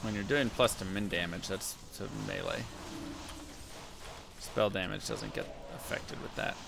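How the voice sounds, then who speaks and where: A man with a deep voice casually talking to chat and muttering to himself while playing a game on a live stream. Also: measured pace, creaky, background noise. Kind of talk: casual gameplay commentary.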